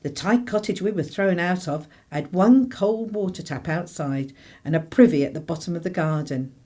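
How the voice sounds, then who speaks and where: A woman's voice: fairly low pitch, normal speed, warm tone, close to an everyday voice. A woman playing a practical, plain-speaking 1950s mother in a radio play. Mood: reflective narration. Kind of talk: radio play acting and narration.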